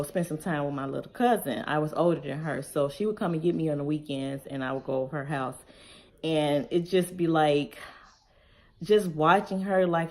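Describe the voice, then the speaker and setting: A woman, casually reading tag questions aloud and answering them in a relaxed, conversational way at home. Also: deep voice. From Chicago, Illinois. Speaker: vlogger.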